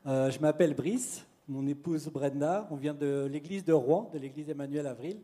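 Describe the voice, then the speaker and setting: A man speaks in a fairly high voice with a mellow, warm tone. He gives a relaxed, chatty church testimony as a youth leader and leans on easy fillers. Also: normal speed. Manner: relaxed, conversational, frequent fillers.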